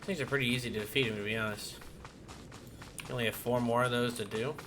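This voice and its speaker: A male gaming streamer with a medium-pitched voice chats casually through his play. He thinks aloud with playful little phrases. Game ambience and typing are clearly audible behind him. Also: fairly even intonation, fast talker. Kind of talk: casual gameplay commentary. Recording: clear background game noise.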